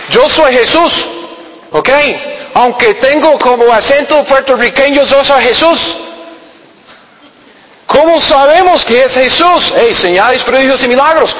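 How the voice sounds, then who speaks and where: A man's voice: high-pitched, pushed and bright. A man preaching a sermon in a church hall, earnest and questioning, with frequent restarts and fillers. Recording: echoey hall with long reverb.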